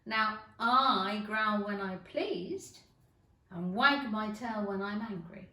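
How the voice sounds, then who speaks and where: A woman voicing a grinning mad cat from a children's storybook, teasing and self-assured, with slow, drawn-out delivery. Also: mid-range voice, pushed and bright. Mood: smug, unhurried. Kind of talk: children's storybook character reading. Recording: echoey room.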